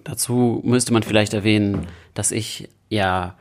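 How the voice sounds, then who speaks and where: A man's voice: lowish voice, fairly even intonation, measured pace. A young man co-hosting a podcast in a casual chat, playful and self-ironic. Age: young adult. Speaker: podcast co-host.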